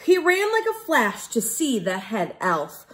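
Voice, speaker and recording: A woman reads to young children like a classroom teacher. Her voice sits a little high and swings expressively up and down. She goes slowly, keeping everything simple and clear to follow. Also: huge pitch swings, a bit bright. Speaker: teacher reading a storybook aloud. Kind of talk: children's read-aloud storytelling.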